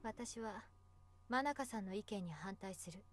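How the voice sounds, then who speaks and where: A woman plays a detached teenage schoolgirl from a visual novel game. She is quiet and terse, in a medium-pitched voice with brisk phrasing. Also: lightly acted. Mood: flat, resolute.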